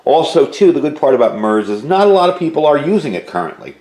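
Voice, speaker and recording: A man's voice: fairly high pitch, very animated, quick pace, a little mellow. A man giving a casual, informal product review, explaining details as he goes, recorded indoors at home. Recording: roomy indoor echo.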